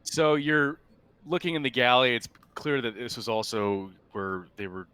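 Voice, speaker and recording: A man narrating an improvised tabletop roleplaying game as game master, in casual, halting phrases. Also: mid-range voice, steady tone, pushed and bright, smooth.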